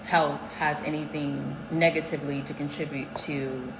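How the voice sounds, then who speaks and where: A woman's voice: sits low, flat delivery, moderate pace. A woman hosting a podcast, asking rambling questions full of fillers and teasing her husband. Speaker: podcast host, wife. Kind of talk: casual interview chat. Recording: echoey room with noticeable background noise.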